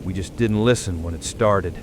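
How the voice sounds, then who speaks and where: A man playing a weary, middle-aged county sheriff, giving a grave, folksy emergency broadcast in a horror audio drama. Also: close to an everyday voice. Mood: resigned, grave. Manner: folksy, slow, resigned, grave. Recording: noisy survivor radio transmission, vehicle-like rumble.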